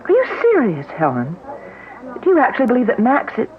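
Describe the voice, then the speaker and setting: An actress playing an anxious, earnest woman in a radio mystery drama, talking quickly and with worry. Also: on the high side, huge pitch swings. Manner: quick, breathy and worried. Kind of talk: 1970s radio drama acting. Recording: tinny old radio broadcast recording.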